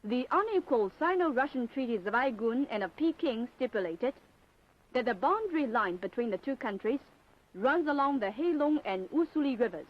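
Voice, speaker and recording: A woman's voice: high-pitched, animated, moderate pace. A woman narrating a scripted propaganda documentary in a formal, emphatic, declamatory style. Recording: dull 1960s film soundtrack.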